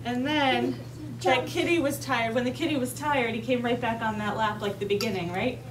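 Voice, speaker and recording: Female kindergarten teacher for an interactive read-aloud. High-pitched, simple instructions with regular check-in questions, picked up from a distance. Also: normal speed. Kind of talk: interactive read-aloud lesson to young children. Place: kindergarten classroom with children. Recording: distant mic, clearly noisy room ambience.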